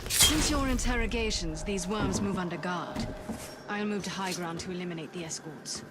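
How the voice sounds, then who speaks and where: A woman's voice: fairly low pitch, normal speed, lightly acted. A woman playing a cool, commanding elf assassin in a video game, clipped and sardonic, with music behind her. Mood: calm, businesslike. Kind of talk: video game cutscene acting.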